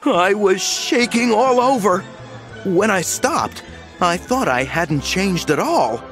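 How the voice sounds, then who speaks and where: A man narrating an audiobook in the first person with lively storytelling. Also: high voice, soft background music. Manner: lively storytelling, slow and animated.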